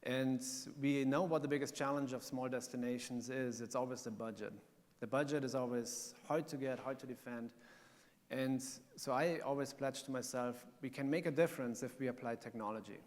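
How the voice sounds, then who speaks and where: A man's voice: medium pitch, fairly even intonation, moderate pace, darkish tone. German-accented man in a reverberant hall. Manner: earnest, explanatory, with filler ums. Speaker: panel speaker. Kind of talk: conference panel talk. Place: conference hall.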